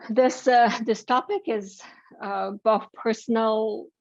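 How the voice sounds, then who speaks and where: A woman from Bulgaria gives a political science lecture over a video call, her speech measured and dotted with fillers and restarts. The audio is a little muffled and dry, with no room sound. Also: a little high, steady tone, no room sound. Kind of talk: academic presentation.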